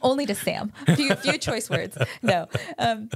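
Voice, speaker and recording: A woman's voice: on the high side, animated, unhurried, gravelly, slightly rough, dry recording, studio quality. A woman from the United States, co-hosting a podcast and giving chatty parenting advice full of "like" fillers. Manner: chatty, many "like" fillers, voices quotes animatedly.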